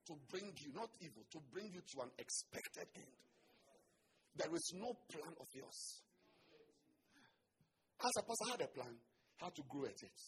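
A man with a Ghanaian accent preaching a sermon as a pastor. He swings from quiet, joking asides to loud shouts. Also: a little high, very animated, moderate pace, pauses often.

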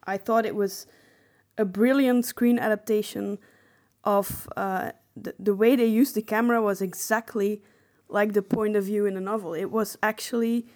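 A woman with a medium-pitched voice chats as a podcast co-host. Her delivery is casual and conversational, as in an informal discussion. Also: steady tone, moderate pace, a little mellow.

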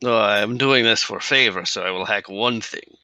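Guy putting on a gruff russian hacker, gravelly and low, super deadpan, crisp bright tone. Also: moderate pace, dry recording. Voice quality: gravelly put-on Russian accent. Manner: deadpan, clipped broken English. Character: gruff Russian male hacker, dry and businesslike.

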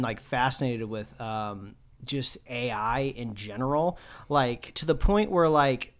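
A man's voice: mid-range voice, a bit bright. Enthusiastic podcast co-host man. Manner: quick and enthusiastic, lots of fillers. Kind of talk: casual podcast chat.

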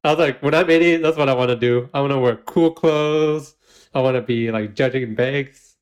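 A man's voice: medium pitch, moderate pace, clear, resonant tone, dull audio. A man co-hosting a podcast, recapping a baking show in casual chat, giggling often and using lots of fillers. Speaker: podcast co-host.

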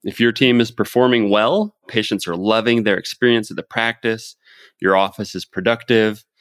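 A man's voice: on the low side, no room sound. A man hosting a podcast, chatting and summing up advice in an upbeat coaching tone. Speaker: podcast host. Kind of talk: casual podcast chat and summary.

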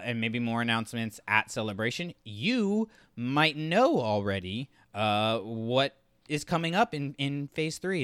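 Bright, clear-voiced man co-hosting a podcast. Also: fairly low pitch, no echo, clean studio sound. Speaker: podcast co-host. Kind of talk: casual podcast review discussion.

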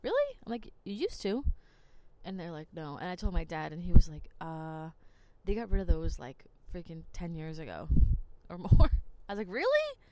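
A woman's voice: lowish voice, wildly swooping pitch, normal speed, darkish tone, slight background noise. A woman from New Jersey, a podcast host telling rambling stories in casual chat. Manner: chatty, rambling, lots of "like". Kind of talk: casual storytelling monologue.

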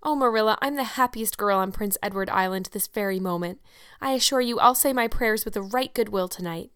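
A woman's voice: medium pitch, a little fast, a bit bright, smooth, no room sound, lightly acted. Brief: woman reading as a chatty, dreamy, eager young girl, gushing, dry close sound. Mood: effusive. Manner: breathless, effusive, romantic.

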